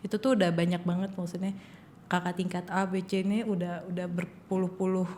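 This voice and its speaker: A woman's voice: lowish voice, level tone, fairly quick, darkish tone, a little hiss or hum. A young woman, a civil engineer guesting on a podcast, chatty and encouraging with easy laughter. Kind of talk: casual advice chat.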